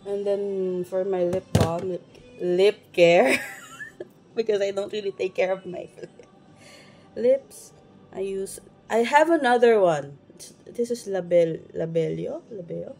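A woman's voice: mid-range voice, creaky, a little mellow. A young woman from the Philippines, chatting self-consciously through a makeup routine to a phone camera in her bedroom. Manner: slow, self-conscious and rambling, with many restarts. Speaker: beauty vlogger. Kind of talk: casual get-ready-with-me makeup talk. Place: bedroom at home, indoors. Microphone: phone camera at arm's length. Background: background music.

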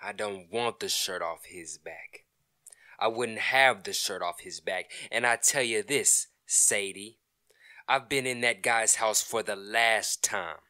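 A man's voice: lowish voice, slow, sharp tone, no echo, studio quality, exaggerated voice. A man playing an indignant, stubborn middle-aged husband in an old-time radio comedy, blustering, sarcastic and emphatic. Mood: irritated, defensive.

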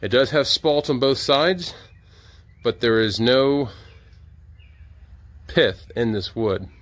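A man's voice: mid-range voice, animated, slow. A middle-aged man chatting casually and informally, thinking aloud as he narrates hands-on work at an open-air sawmill. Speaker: sawmill operator and vlogger. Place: outdoors at an open-sided sawmill shed and yard. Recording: noisy outdoor ambience, vehicle sounds.

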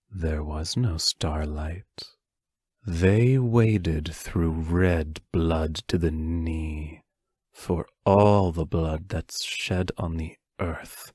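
An actor playing a grave mentor figure in an audio play, measured and solemn. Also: male voice, low voice, a little mellow, husky, no echo, clean studio sound, exaggerated voice. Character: grave male mentor steeped in old ballads. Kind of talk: audio play acting with verse recitation.

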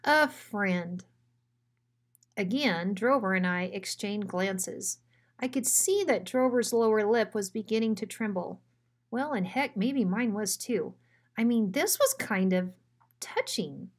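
A woman's voice: medium pitch, animated, a little slow. Woman reading a children's story aloud. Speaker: school principal reading aloud.

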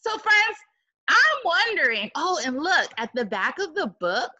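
A woman reads a storybook aloud with big, dramatic expression. Her voice is high and bright, and she takes her time, breaking off for exclaiming asides to young listeners. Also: sing-song, unhurried, muffled recording. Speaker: teacher reading a picture book aloud. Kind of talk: animated read-aloud with asides to children.

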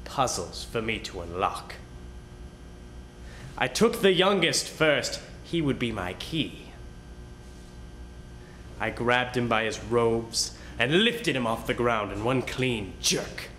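A man giving an intense, deliberate dramatic reading of a narrative. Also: bright, forceful tone. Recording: roomy echo, faint rumbling noise.

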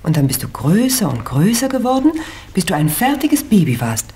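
A woman playing a patient, kindly mother explaining things gently in a 1970s German children's radio play. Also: mid-range voice, sing-song, normal speed, slight background noise, natural voice.

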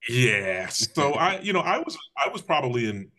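A middle-aged man from Connecticut answering interview questions on a video call, measured and thoughtful. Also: medium pitch, very animated, sharp tone. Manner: measured, thoughtful, some restarts. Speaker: guest, public safety official. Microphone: video call webcam.

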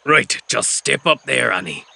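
An actor playing Captain John, a jolly bearded pirate captain, in a cartoon with music behind. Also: male voice, mid-range voice, very animated, unhurried, gravelly, sharp tone, no echo, full character voice. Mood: hearty.

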